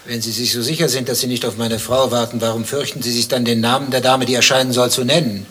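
Actor as jealous husband, bright forceful voice. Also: male voice, medium pitch, little pitch movement, quick pace, slight background noise, lightly acted. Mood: probing, suspicious. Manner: insistent, indignant, pedantic questioning. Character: jealous, suspicious husband, a dentist, interrogating his rival. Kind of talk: German satirical radio play acting.

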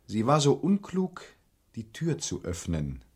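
A police investigator in a crime radio play, formal and slyly insinuating. His pitch swings widely as he probes, and the voice has a husky edge. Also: wildly swooping pitch, heavily acted. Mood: pointed, accusing. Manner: formal, deliberate, insinuating politeness. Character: probing police investigator, courteous, sly and insinuating. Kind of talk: German crime radio drama acting.

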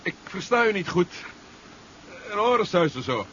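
An actor playing a matter-of-fact diver on a dangerous undersea mission in a 1970s Dutch sci-fi radio play. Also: male voice, high voice, big pitch swings, moderate pace, a bit bright. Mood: dazed. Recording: dull, hissy 1970s radio recording.

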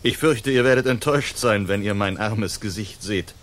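An actor playing a suave, courteous young noble hero with a polite, wry manner in a German radio drama. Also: male voice, on the low side, moderate pace, natural voice. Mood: mock modest. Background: soft guitar music.

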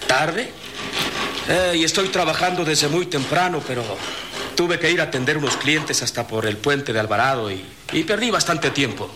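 A Mexican actor playing a cautious, hesitant middle-aged master cabinetmaker in a radio drama. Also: male voice, on the high side, moderate pace, lightly acted. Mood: grumbling, worried. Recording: noisy old radio drama recording.

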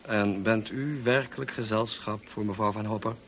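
An actor playing a brusque, dryly witty upper-class gentleman in a radio play, clipped and commanding, on a slightly noisy old recording. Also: lowish voice, fairly even intonation, normal speed, natural voice. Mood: dry, teasing. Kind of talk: radio drama acting.

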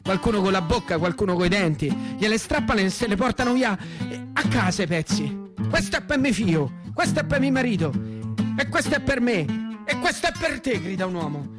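An actor with a central Italian, Roman accent playing a haunted, fervent ex-partisan in a radio theatre monologue, telling it colloquially and with insistent repetition. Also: male voice, high voice, big pitch swings, a little fast. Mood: fervent, agitated. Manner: dramatic storytelling with colloquial dialect and insistent repetition. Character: Italian ex-partisan man recounting war memories and dreams, haunted, fervent. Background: plucked guitar music.

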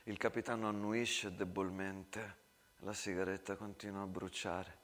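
A man narrating a scripted war drama as an actor, in a hushed storytelling style. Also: deep voice, flat delivery, unhurried.

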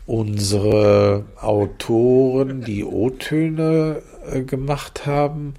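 A man's voice: medium pitch, extremely slow, mellow. A man who worked in radio, reminiscing casually in a hesitant, reflective way. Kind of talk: casual round-table reminiscing.

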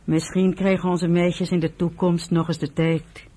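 A woman playing a fair-minded, middle-aged mother in a Dutch radio drama, husky and gently reproachful. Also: fairly low pitch, flat delivery, measured pace. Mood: gentle reproach.

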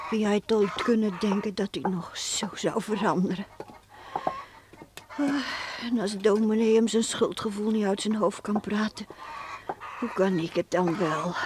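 A woman plays a grieving, devoted wife in a radio drama on a noisy old recording. She speaks slowly at a medium pitch, anxious and hesitant, with sighs in her phrasing. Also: natural voice. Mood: sorrowful brooding. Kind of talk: Dutch radio play acting.

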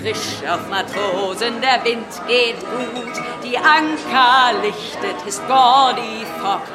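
A woman's voice: fairly high pitch, slow pace. Woman singing a sea shanty, radio play, really drawn out, music n crowd behind. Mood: rousing song. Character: singer of a seafaring song calling to sailors.

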